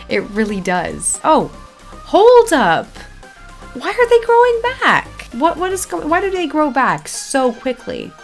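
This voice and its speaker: A woman hosting a game video, chatting casually and speaking directly to viewers as she plays. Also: a little high. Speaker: gaming video host. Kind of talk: casual game playthrough commentary.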